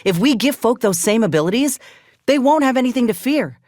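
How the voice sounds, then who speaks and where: A woman's voice: a little high, animated, brisk, a little mellow, no room sound, clean studio sound. An actress playing a determined, scrappy soldier woman in a video game, with blunt, folksy frontier speech. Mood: earnest, persuasive. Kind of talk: video game character dialogue.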